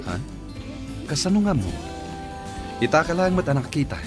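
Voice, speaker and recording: A placating husband who dodges questions. His intonation rises and falls freely, hesitant then soothing, in comedy radio drama style. Loud music runs under his voice. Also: on the high side, big pitch swings, normal speed. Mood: evasive. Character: evasive, placating husband out drinking with a friend.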